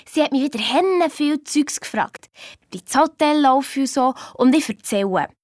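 Sensible Swiss German girl, high voice, chiding and bossy, children's radio play, close dry sound with faint music. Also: animated, normal speed, darkish tone, no echo. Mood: chatty, pleased. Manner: chiding, matter-of-fact, colloquial. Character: sensible, bossy young girl from a hotel family. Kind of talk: first-person storytelling in a children's radio play.

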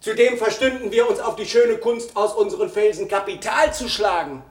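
A man performing a literary monologue as an obsequious, scheming Swiss envoy, formal, deliberate and ingratiating. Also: high-pitched, echoey room, natural voice. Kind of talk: literary monologue, measured narration.

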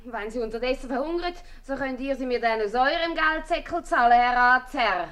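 A woman playing a sharp-tongued, nagging wife with a Swiss German accent in a 1950s radio comedy. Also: high voice, lively intonation, normal speed. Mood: cross, demanding.

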